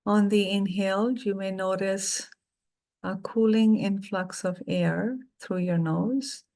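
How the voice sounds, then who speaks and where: A middle-aged woman leading a guided meditation, speaking softly and soothingly with long pauses between phrases. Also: medium pitch, fairly even intonation.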